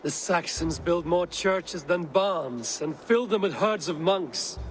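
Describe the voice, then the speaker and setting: An actor playing a stoic, steadfast Viking raider hero in a video game, speaking in a measured, plain, firm way. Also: male voice, on the high side, soft background music. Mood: firm, defiant. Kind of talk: dramatic video game cutscene acting. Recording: game audio with faint background noise.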